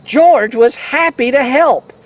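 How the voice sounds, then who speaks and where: A man reading a children's storybook in a playful, animated, folksy way. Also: very high-pitched, slow pace.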